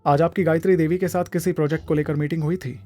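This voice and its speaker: A man's voice: fairly high pitch, fairly even intonation, quick pace, mellow, soft background music, natural voice. A man playing a protective, powerful husband and boss in an audio drama, stern and formal, with an angry edge. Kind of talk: Hindi audio drama acting.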